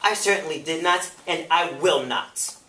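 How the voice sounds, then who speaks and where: A man's voice: a little high, measured pace, pushed and bright. A man performing a defiant, indignant male activist testifying before a committee, emphatic and oratorical, in a dramatic stage interpretation recorded from afar in an echoey room. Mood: firm, precise. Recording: echoey room, picked up from far off.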